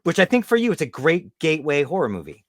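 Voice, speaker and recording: Lively middle-aged man with a higher-pitched voice. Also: fast, no room sound, clean studio sound. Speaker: podcast host. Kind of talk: casual film chat.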